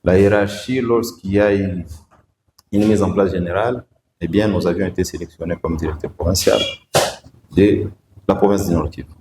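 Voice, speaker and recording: Deep-voiced man, echoey room. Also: fairly even intonation. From Democratic Republic of the Congo. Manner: measured, hesitant, frequent "euh" fillers. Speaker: guest, provincial road-safety director. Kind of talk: studio interview answers. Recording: echoey room, faint background noise.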